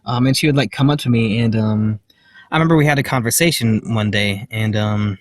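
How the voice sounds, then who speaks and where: A young man vlogging outdoors into a handheld phone. He tells a personal story in a quiet voice, full of fillers and self-deprecating nervous laughs. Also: lowish voice, brisk, dry recording. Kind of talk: rambling personal story to camera. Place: outdoors, walking in public.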